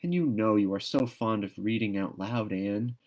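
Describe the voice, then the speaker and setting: A man's voice: fairly low pitch, moderate pace, darkish tone, close to an everyday voice. A man voicing a young schoolgirl best friend in a storybook, mournful, tearful and coaxing.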